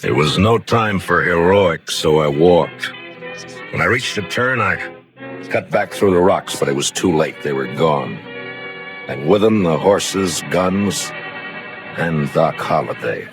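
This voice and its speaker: A low-voiced, grim frontier marshal. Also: male voice, takes it slowly, full character voice. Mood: grim narration. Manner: terse, hard-boiled, deadpan. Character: grim, determined male frontier marshal who narrates. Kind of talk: 1950s radio western acting and narration. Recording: vintage radio recording with music.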